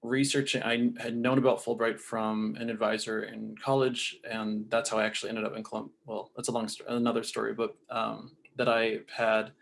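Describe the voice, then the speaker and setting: A man speaking as a panelist in a relaxed way, thinking aloud and restarting sentences. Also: on the low side, moderate pace, creaky, hardly any pauses. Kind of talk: casual panel talk.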